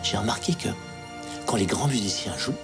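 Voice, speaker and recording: A man's voice: on the low side, animated, moderate pace, close to an everyday voice. An actor playing an earnest man in a dubbed film drama. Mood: confiding. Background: loud music.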